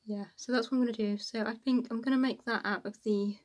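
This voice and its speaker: A woman's voice: a little high, fairly even intonation, fast, darkish tone. A woman, chatting casually and informally to camera as a sewing vlogger in a small room at home.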